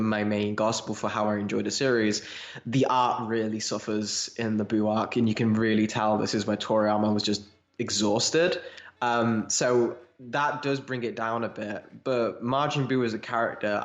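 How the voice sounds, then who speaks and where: A man with a fairly low voice co-hosts a casual fan podcast. He chats conversationally. The recording is dull and muffled, with noticeable room echo. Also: level tone, normal speed. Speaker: podcast co-host. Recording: muffled, echoey room sound.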